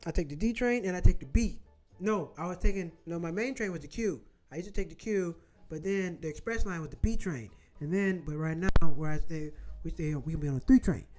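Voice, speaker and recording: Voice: medium pitch, warm tone, faint music behind. A host from Florida chatting casually with viewers, rambling through loose asides and swearing freely. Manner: rambling, salty, talks in quick asides. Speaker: show host.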